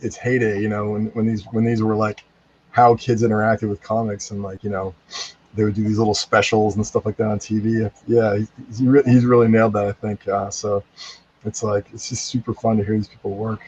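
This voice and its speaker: A man's voice: on the low side, flat delivery, fairly quick, darkish tone, slightly rough, highs cut off. A man guest on a podcast chatting casually and enthusiastically, laughing often as he praises his castmates. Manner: enthusiastic, laughing, repeats "freaking". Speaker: voice actor guest.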